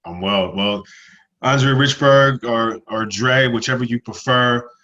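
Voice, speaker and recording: A man's voice: medium pitch, takes it slowly, bright, forceful tone. A man, introducing himself as a panelist on a video call. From New Jersey, USA. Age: 40s. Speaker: panelist, longtime program alumnus. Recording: dull, lo-fi video call audio.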